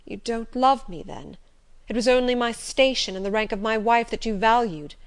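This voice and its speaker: A stormy middle-aged gentleman pours out his words loudly and urgently, as a woman reads him aloud. He sounds impassioned and forceful in an audiobook telling. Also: on the high side, moderate pace, clear, resonant tone, lightly acted. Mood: anguished. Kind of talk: audiobook reading.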